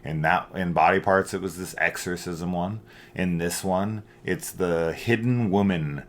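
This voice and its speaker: A man's voice: low-pitched, steady tone, measured pace. A middle-aged man hosting a casual film review, rambling and opinionated, with emphatic points.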